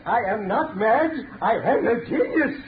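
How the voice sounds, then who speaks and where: A mad, grandiose genius in a 1930s radio thriller. He is defiant and theatrical. The old recording is tinny, with clear noise. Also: extremely high pitch, lively intonation, a little slow.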